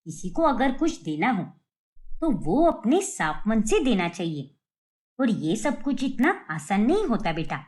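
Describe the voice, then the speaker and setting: A woman's voice: fairly high pitch, sing-song, unhurried, darkish tone. A woman voicing a nagging, sarcastic middle-aged mother-in-law with a taunting, grumbling manner in a cartoon story.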